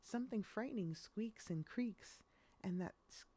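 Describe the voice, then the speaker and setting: A woman reading a rhyming children's picture book aloud in an expressive storytelling style. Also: medium pitch, a little slow, husky.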